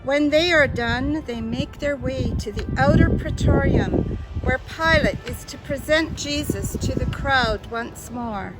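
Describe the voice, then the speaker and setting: A woman with a high voice narrates a passion play slowly and solemnly. Loud music plays under her scripted reading. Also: poor audio.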